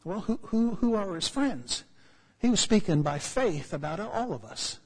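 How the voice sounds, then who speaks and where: A male preacher with an American accent spins a funny personal story from the pulpit. His voice sits high and a little husky. It rings out through a large hall with a long reverb tail. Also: a little slow. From United States. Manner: humorous, animated storytelling with asides. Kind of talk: storytelling sermon.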